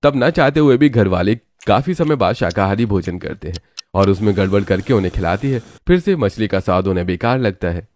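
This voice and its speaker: Low-voiced man narrating a cartoon story. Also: expressive, fairly quick, darkish tone, a little hiss or hum.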